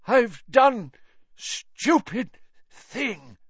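A man's voice: a little high, expressive, moderate pace, a bit bright, dry recording, voice pushed up. A man voicing a haggard, raving older official who gasps out broken phrases and mutters orders to no one. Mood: desperate gasping. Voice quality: breathless, broken gasping phrases. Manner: mutters manic orders to the air. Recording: slightly dull lo-fi recording.

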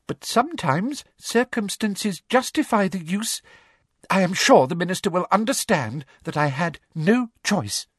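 Man putting on a prim, menacing woman official, high-pitched. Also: expressive, a little slow, no room sound, clean studio sound, full character voice. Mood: agitated, resolute. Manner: breathy, agitated, self-justifying. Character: prim, cruel middle-aged woman official, gleefully menacing.

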